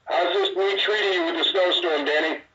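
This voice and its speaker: A man's voice: high voice, fairly even intonation, brisk, sharp tone, reverberant, far from the mic. A man moderating a press conference call in a polite, businesslike way over a noisy phone line.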